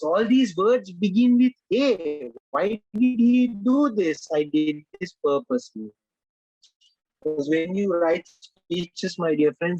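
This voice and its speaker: A man from Sri Lanka who speaks English as a second language, leading a speech-writing workshop with animated emphasis and frequent direct address to his audience. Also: sits high, no echo, muffled recording. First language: Sinhala or Tamil. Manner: animated, emphatic, repeats "my dear friends". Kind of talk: online workshop lecture.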